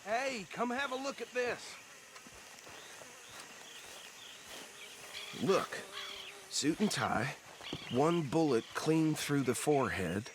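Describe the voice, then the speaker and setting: A man's voice: on the high side, very animated, takes it slowly, background noise. An actor with a Southern American accent playing a talkative, self-righteous small-town lawman in a Western video game, rambling in a folksy, indignant way. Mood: businesslike. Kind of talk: dramatic video game acting.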